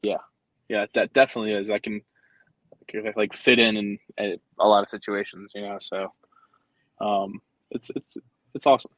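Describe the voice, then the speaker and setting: A man's voice: lowish voice, moderate pace, long pauses, no room sound, low-quality recording. Easygoing man, dry close sound. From United States, Guatemalan family. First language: Spanish. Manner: relaxed and easygoing, many "like" fillers. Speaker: guest, rugby coach. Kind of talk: casual interview chat.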